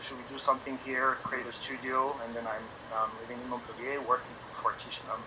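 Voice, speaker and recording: A man in his forties from France, speaking English with a French accent and as a non-native speaker, talking about his work in a relaxed, halting way full of ums and restarts. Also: mid-range voice, noisy background. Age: 40s. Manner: hesitant, many ums and restarts. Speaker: animation guest on a stream. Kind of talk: casual interview talk.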